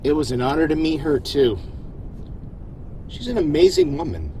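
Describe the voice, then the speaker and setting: Man playing earnest starship captain, rumbling engine noise. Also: wildly swooping pitch, moderate pace, creaky, dark tone, full character voice, low-quality recording. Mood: warm, friendly. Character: earnest, reflective male starship captain, modest and steady. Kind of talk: science fiction audio drama acting.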